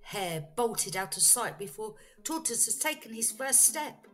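Woman reading a children's story, unhurried. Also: on the high side. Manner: expressive, deliberate storytelling. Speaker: storyteller, teacher. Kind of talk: children's bedtime story read-aloud. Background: faint music.

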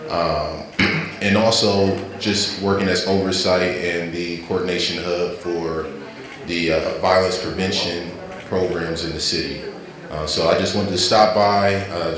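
Deep-voiced guy in his 30s, lots of ums and uhs, super echoey hall, crowd noise. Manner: halting, with many fillers and throat clearing. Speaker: community relations coordinator. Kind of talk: public introduction at a community meeting. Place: large indoor event hall. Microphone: handheld mic.

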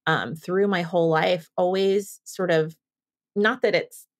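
A woman's voice: fairly low pitch, fairly even intonation, unhurried. A woman from Southern California, hosting a podcast and chatting in a rambling, thinking-aloud way. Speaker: podcast host. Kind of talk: casual interview chat.

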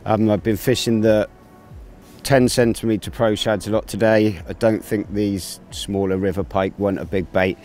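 Man, 30s, low mellow voice, relaxed outdoor tutorial presenter on a riverbank, lapel mic. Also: normal speed, faint music behind. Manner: relaxed, explains gear step by step with many fillers. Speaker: angling presenter.

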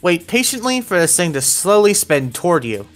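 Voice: medium pitch, very animated, normal speed. A narrator giving scripted, step-by-step instructional commentary for a video game walkthrough. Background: background music.

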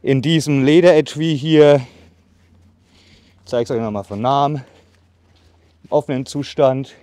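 A man in his thirties demonstrating a saw outdoors in casual talk, a bit out of breath, with many fillers and pauses. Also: mid-range voice, fairly even intonation, warm tone, dry recording. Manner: chatty, filler-heavy, pauses while sawing. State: slightly out of breath from sawing. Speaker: bushcraft channel host. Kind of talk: casual product demo. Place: outdoors in a leafy meadow by trees. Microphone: far camera on a tripod.

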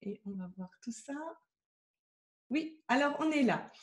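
Brief: high-pitched woman, welcoming host of a live webcam masterclass. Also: animated, a little slow. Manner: welcoming, introduces the guest and greets viewers by name. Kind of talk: hosting a live video session. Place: home room. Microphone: webcam on a video call.

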